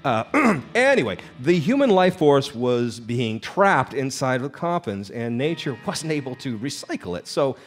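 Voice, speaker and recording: An actor playing a nervous, evasive labor company representative in a live radio drama, stammering and officious. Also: male voice, medium pitch, big pitch swings, measured pace, few pauses. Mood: cautious explaining. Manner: stammering, hesitant, apologetic and officious. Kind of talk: live old-time radio drama acting. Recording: live stage performance with background music. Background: music.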